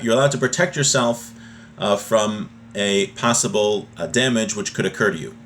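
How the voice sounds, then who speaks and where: A man's voice: mid-range voice, a bit bright. Man teaching informally over background noise. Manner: didactic, conversational, checks in with "right?". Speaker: religious teacher. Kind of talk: informal text lesson, reading then explaining. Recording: noisy background ambience.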